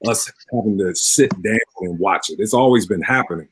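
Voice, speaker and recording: A man's voice: lowish voice, brisk, background noise. A man in his forties, a pastor speaking earnestly as an interview guest, repeating phrases for emphasis in a preacher-like way. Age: 40s.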